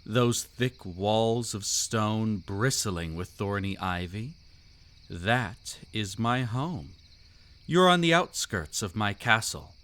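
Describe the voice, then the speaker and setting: A man's voice: lowish voice, lively intonation, slow pace, a bit bright. A man voicing a suave, predatory old vampire count in a scripted roleplay audio, with an aristocratic, silky, teasing and theatrical delivery.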